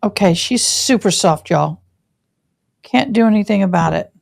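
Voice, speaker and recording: A woman's voice: mid-range voice, animated, moderate pace, creaky. A woman giving casual running commentary over a livestreamed court trial, chatty and loose with her asides.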